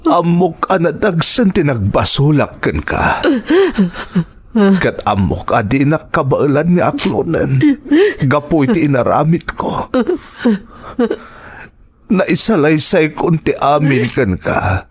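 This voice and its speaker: A man's voice: a little high, sing-song, slow, long pauses, husky, heavily acted. A man with an Ilocano Filipino accent playing a remorseful middle-aged husband in an audio drama, earnest and pleading. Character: remorseful returning husband and father pleading for forgiveness.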